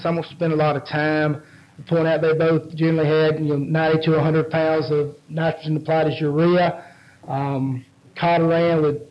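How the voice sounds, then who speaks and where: A nasal, congested man presents research at a conference, stopping often with ums and repeated words. His voice sits a little high for a man. The recording sounds dull and lo-fi. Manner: halting, frequent ums and restarts. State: head cold, nasal. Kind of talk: conference talk with slides. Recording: dull lo-fi audio.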